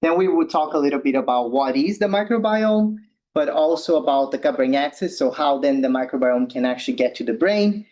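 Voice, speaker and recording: A young man from Brazil with a Brazilian Portuguese accent, giving a relaxed science talk as a postdoctoral researcher, with ums and slightly loose non-native phrasing. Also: fairly high pitch, fairly quick. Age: young adult. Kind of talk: informal science presentation.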